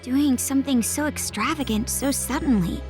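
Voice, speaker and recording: A woman's voice: sits high, moderate pace, darkish tone, natural voice, poor audio. A woman playing an inquisitive, serious young girl ninja in an anime game, observant and questioning in her delivery. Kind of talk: English anime game dub acting. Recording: music behind.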